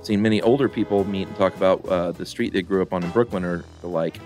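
A middle-aged man co-hosting a podcast, reading listener mail in a rambling, relaxed way with lots of fillers. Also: sits low, fast, faint music behind. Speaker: podcast co-host.

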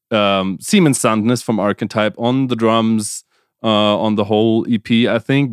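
A man's voice: lowish voice, smooth, no echo, clean studio sound. A man co-hosting a music podcast, speaking casually, hesitating and repeating words, often laughing. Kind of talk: casual chat.

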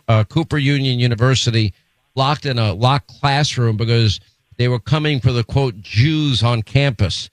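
A man's voice: mid-range voice, no echo. A middle-aged man hosting a talk radio show, bantering brashly with his producer and taking calls. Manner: brash, quick, joking.

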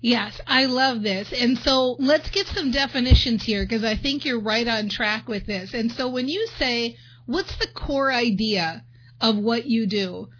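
A woman's voice: fairly high pitch, unhurried, lo-fi sound, slight background noise. A woman hosting an interview, enthusiastic, with a coaching tone. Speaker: interview host. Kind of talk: podcast interview.

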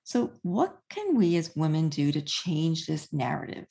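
A woman in her fifties hosting an interview, chatty and quick to laugh. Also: sits low, big pitch swings, gravelly, husky. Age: 50s. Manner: warm, chatty, laughs often. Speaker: podcast host. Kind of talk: interview conversation.

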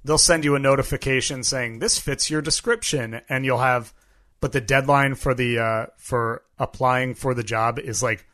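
A man's voice: mid-range voice, fairly even intonation, moderate pace, a bit bright. A man co-hosting a podcast in a casual, joking and self-deprecating chat style. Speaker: podcast co-host.

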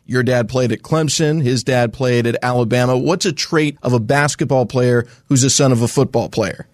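A man's voice: medium pitch, moderate pace, dry recording. A man hosting a sports podcast and asking interview questions in a polished broadcast style.

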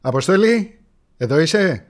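A man's voice: fairly high pitch, expressive, natural voice. A man acting as a short, nosy hunter and shop customer in a radio drama, jaunty and colloquial. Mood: inquisitive. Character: short, smiling, bushy-haired male hunter, nosy customer. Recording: very noisy background with clicks.

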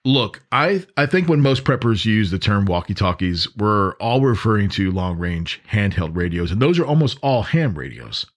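A man's voice: lowish voice, sing-song, moderate pace, hardly any pauses. A man hosting a podcast in a conversational, instructive way, repeating points for emphasis. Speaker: podcast host. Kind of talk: conversational podcast monologue on emergency preparedness.

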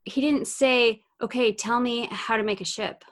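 A woman hosting a casual faith discussion, talking in an animated way and asking questions. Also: on the high side, moderate pace.

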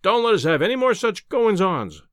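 A man voicing a stern, officious policeman in an audiobook, scolding severely and giving brisk orders. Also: high-pitched, big pitch swings, fairly bright tone, dry recording, studio quality, voice pushed up. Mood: brisk, bossy. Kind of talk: audiobook character acting.

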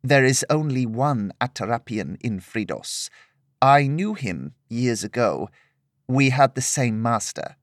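Narrator giving older swordswoman, stern, proud, dignified, little lift, lively tone. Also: mid-range voice, no echo, studio quality. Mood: measured. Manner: measured, dignified.

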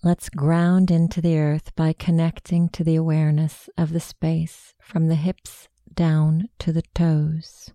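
Woman, guided meditation, hushed soothing delivery, even intonation, very mellow, close dry sound. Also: sits low, no room sound. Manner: slow, hushed, soothing instruction.

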